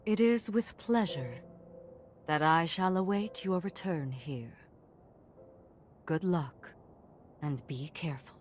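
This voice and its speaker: An actress playing a solemn, composed woman who guides a hero in a video game, speaking formally and earnestly in measured phrases. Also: sits low. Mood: earnest, cautioning. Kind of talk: dramatic video game acting.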